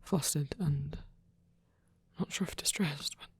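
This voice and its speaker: A performer voicing a gentle, shy, protective male vampire priest in an intimate ASMR roleplay, soft and breathy, speaking in hesitant, tender phrases. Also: mid-range voice, slow pace, dry recording. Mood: gentle, coaxing.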